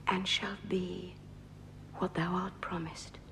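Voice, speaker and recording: A woman playing a ruthless, ambitious noblewoman in a theatrical Shakespearean soliloquy, moving between breathy whispers and intense declamation. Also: lowish voice, little pitch movement, takes it slowly, a bit bright, slight background noise, exaggerated voice. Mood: scheming, hushed.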